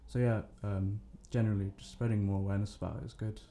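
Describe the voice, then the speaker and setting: A nineteen-year-old man speaks as a podcast guest, hesitant and full of restarts. His voice is low and gravelly. A faint hiss sits behind it. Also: flat delivery, fast talker, warm tone. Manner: hesitant, many ums and restarts. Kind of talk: casual podcast chat.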